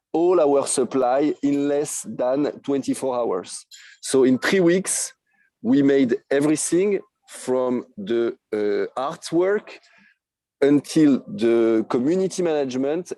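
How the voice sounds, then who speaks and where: A man in his thirties with a strong French accent and French as his first language, a podcast guest telling his story eagerly with many halting fillers. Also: medium pitch, mellow, no room sound, low-quality recording. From France. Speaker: guest, NFT project creator. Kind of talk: podcast interview.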